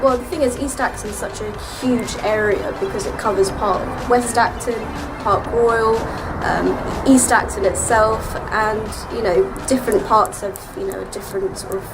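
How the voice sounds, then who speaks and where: A woman's voice: steady tone, moderate pace, low-quality recording. A woman in her twenties with a London accent, answering casually in an interview. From West London, England. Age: 20s. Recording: music and traffic rumble behind voice.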